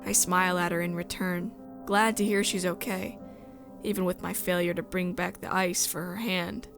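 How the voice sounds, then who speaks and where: A woman's voice: lowish voice, level tone, normal speed, gravelly, music in the background. A woman playing a sensitive, resolute outsider who narrates a space drama in the first person. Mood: earnest, tense.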